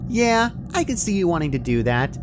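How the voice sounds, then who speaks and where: A man's voice: on the high side, sing-song, moderate pace, background noise, pitched above the performer's own voice. A man voicing a perky, sadistic female cartoon pony from a fan story, casual and chirpy while menacing. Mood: cheerfully menacing.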